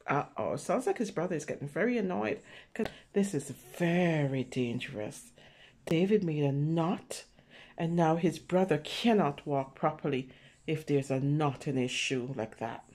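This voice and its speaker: A woman's voice: low-pitched, a little slow, a little mellow. A woman reading a picture book aloud to young children, explaining simply and checking in with questions. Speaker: children's read-aloud storyteller.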